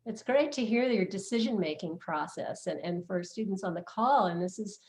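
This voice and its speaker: A woman's voice: mid-range voice, normal speed, darkish tone, slight background noise. A woman moderating an online panel in a conversational, encouraging way over a video call.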